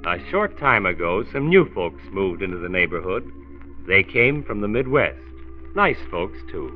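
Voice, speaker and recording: An actor playing a folksy, kindly county doctor who narrates a 1940s radio series. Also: male voice, low-pitched, sing-song, moderate pace, faint music behind. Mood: folksy storytelling. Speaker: narrator host. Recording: tinny 1940s radio transcription recording.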